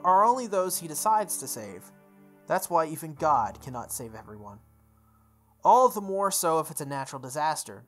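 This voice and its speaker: Expressive reader stumbling through game text over music. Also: mid-range voice. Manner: stumbles over words and repeats phrases. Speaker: gamer reading a visual novel aloud. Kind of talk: playthrough read-aloud with offhand asides.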